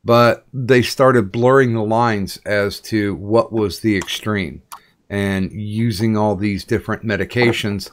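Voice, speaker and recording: A middle-aged man interviews a guest at a slow, unhurried pace, his voice sitting low. He stops often, restarts sentences and fills gaps with "uh" and "um." It sounds like relaxed conversation. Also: a little hiss or hum. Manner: slow, halting, many fillers and restarts. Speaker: podcast interviewer. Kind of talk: casual interview chat.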